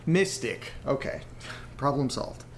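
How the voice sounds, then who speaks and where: An actor playing a rattled young man who rambles haltingly through a personal audio diary in a self-deprecating way. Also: medium pitch, big pitch swings, vocal fry, a little hiss or hum, exaggerated voice. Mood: wistful, wry. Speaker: narrator character of a horror audio drama. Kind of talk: confessional audio diary monologue, conversational.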